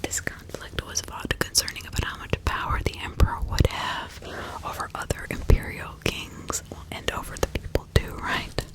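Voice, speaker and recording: A woman speaking in a breathy, hushed whisper as an ASMR host, reading and role-playing in an informal, conversational way. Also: moderate pace. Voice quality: breathy unvoiced whisper with some crackle.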